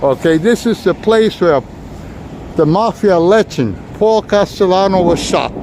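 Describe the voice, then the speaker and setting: A man's voice: sits high, expressive, a little slow, darkish tone. Chatty New man on a street. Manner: chatty, rambling, talks to viewers. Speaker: walking tour vlogger. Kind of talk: casual livestream walking tour. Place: outdoors on a busy city street. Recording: noisy street recording. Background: street traffic noise.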